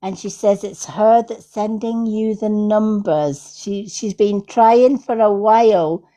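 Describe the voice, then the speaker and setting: A woman's voice: medium pitch, takes it slowly, warm tone. A Scottish woman giving casual spiritual readings on a livestream as its host, in an affirming way, often checking in with "right?". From Scotland. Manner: affirming, repeats herself, tags with "right?". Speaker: psychic medium hosting a livestream.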